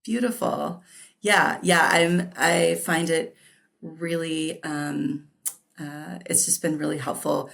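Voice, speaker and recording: A woman's voice: deep voice, fairly even intonation, takes it slowly, vocal fry, a bit bright. A woman hosting a podcast, reading a guest introduction and then chatting casually and effusively, with many fillers and laughs. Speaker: podcast host. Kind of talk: casual interview chat.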